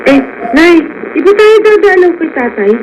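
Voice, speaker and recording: Filipino radio drama selfless schoolgirl, meek and polite, very high voice, lightly noisy dull audio. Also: very animated, normal speed. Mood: meek, concerned. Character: dutiful, selfless teenage high school girl, meek daughter.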